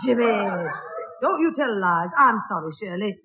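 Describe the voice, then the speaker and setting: A woman's voice: medium pitch, expressive, normal speed, crowd noise, lightly acted. An actress playing a firm mother who reproves her schoolboy son emphatically in a 1960s radio comedy. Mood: stern. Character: firm, scolding Northern English mother of a schoolboy. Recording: tinny old 1960s radio recording.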